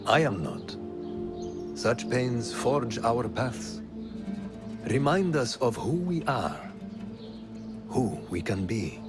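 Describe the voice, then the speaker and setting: A man's voice: mid-range voice, lively intonation, takes it slowly, pauses often, over loud music. Wistful, stoic male rebel scout. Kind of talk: video game cutscene acting.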